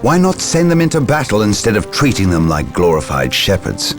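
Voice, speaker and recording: An actor playing a proud, impatient veteran space marine officer in a game cutscene, formal and challenging. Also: male voice, on the low side, huge pitch swings, a little fast. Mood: impatient, disdainful. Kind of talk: video game cutscene voice acting. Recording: dramatic music behind.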